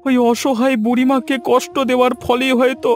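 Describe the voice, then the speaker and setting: A man's voice: very high voice, monotone, fairly quick. A man dubbing a cartoon moneylender, a menacing husband given to melodramatic wailing. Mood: pained, pleading. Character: menacing moneylender, later a whining, repentant injured husband.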